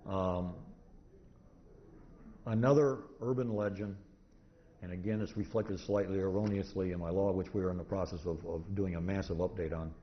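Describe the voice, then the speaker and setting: A man giving an informal, rambling lecture to an audience, halting and restarting often as he goes. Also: low voice, steady tone. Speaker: convention presenter, radio historian. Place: indoor convention talk room. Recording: muffled, noisy live convention room recording.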